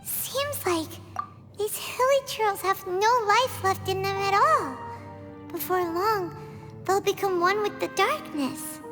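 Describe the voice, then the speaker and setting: Squeaky, very high-pitched childlike fairy girl. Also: animated, slow, over music, heavily acted. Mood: subdued, wistful. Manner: exclamatory, refers to herself by name. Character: tiny childlike female fairy companion, excitable and chatty. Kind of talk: video game voice acting.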